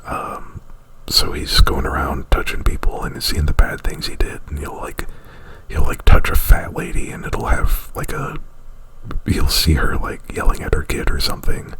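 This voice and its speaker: A man's voice: normal speed, low-quality recording. A man doing casual ASMR, whispering breathily right up at the microphone in a rambling, informal retelling. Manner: rambling, informal, with frequent "like" and profanity. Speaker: ASMR performer. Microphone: very close to the microphone.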